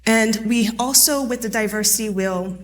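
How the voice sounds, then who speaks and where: A woman's voice: on the high side, moderate pace. A woman in her thirties whose first languages are French and Haitian Creole, presenting a conference training session and often asking the audience questions. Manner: conversational, asks the audience questions. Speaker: workshop presenter.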